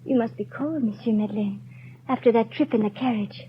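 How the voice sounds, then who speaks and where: A woman's voice: a little high, a little fast, natural voice. A woman playing a feverish, pleading young mother in a 1930s radio drama. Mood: weak pleading. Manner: pleading, breathy whispers rising to frantic cries. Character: sick, feverish young mother, desperate for her child. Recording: muffled, hissy 1930s radio broadcast.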